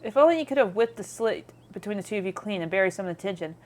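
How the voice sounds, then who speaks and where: A woman's voice: medium pitch, animated, very fast, a little mellow. A woman streamer reading game narration aloud with playful, chatty casual commentary.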